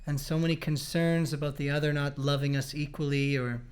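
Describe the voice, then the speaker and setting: A man in his thirties from Canada, hosting a casual relationship chat in a relaxed, rambling way with joking asides. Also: a little high, brisk. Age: 30s. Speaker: podcast host.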